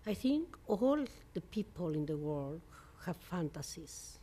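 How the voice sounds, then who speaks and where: Low, slow Mexican woman composer. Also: big pitch swings, dark tone, slight background noise. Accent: Mexican Spanish. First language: Spanish. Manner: halting non-native English with many fillers and restarts. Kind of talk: interview. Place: venue in New York.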